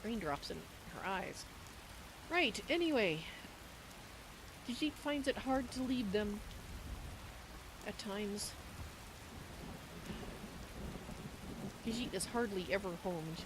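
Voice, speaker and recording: A woman voicing a warm-hearted Khajiit cat-woman adventurer in a video game roleplay, speaking of herself in the third person. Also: medium pitch, expressive, measured pace, long pauses, noisy background, natural voice. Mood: wistful. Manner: refers to self in third person. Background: game rain ambience.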